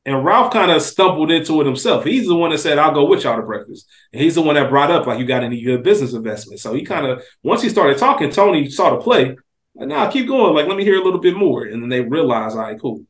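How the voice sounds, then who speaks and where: A man's voice: on the high side, lively intonation, fast talker. A man co-hosting a podcast, talking casually and emphatically with swearing and acted-out quotes. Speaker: podcast co-host. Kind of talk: casual TV discussion. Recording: roomy echo on the voice.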